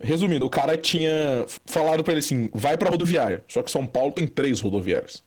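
Husky-voiced young man telling a rambling story. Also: fairly high pitch, lively intonation, quick pace, a little mellow. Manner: rambling, many fillers and restarts. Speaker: storyteller guest. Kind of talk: casual chat, telling an anecdote.

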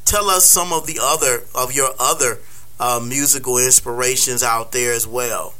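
A man hosting a radio show, interviewing a guest in a slow, halting way with many fillers. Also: mid-range voice, pushed and bright, poor audio.

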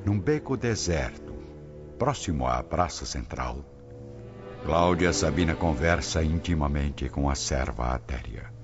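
A man's voice: extremely low pitch, lively intonation, unhurried. A man narrating a scripted radio drama in a solemn, formal storytelling manner. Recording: muffled lo-fi radio, clear music bed. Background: music.

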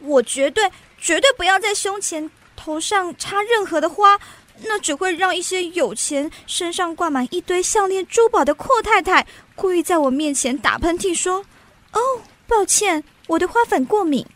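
An actress playing a demure, self-pitying young wife who longs for finery in a radio drama, speaking in a plaintive, delicate way. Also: female voice, very high voice, animated, moderate pace, fairly bright tone. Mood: complaining. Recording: music behind the voice.

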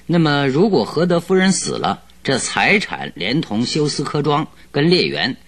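An actor in a radio drama playing a composed, dryly amused male detective who questions in a measured, concise way. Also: a little high, very animated. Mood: cool, inquisitive.

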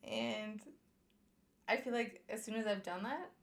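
A soft-spoken woman co-hosting a casual podcast, talking hesitantly with frequent pauses and stumbles. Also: mid-range voice, very animated. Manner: hesitant, many "um"s, stumbles over words. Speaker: podcast co-host.

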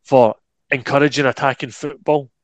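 A man's voice: mid-range voice, steady tone, no echo. A man co-hosting a football podcast, blunt and opinionated in casual chat. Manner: blunt and opinionated, quick asides. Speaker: podcast co-host.

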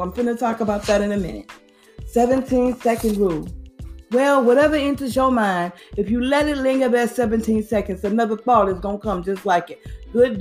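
A woman talking in a motivational, preachy way, hammering points home by repeating them and dropping casual slang. Her voice sits a bit high. Faint music plays under her talk. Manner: preachy, repeats phrases for emphasis, slangy asides. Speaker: podcast host.

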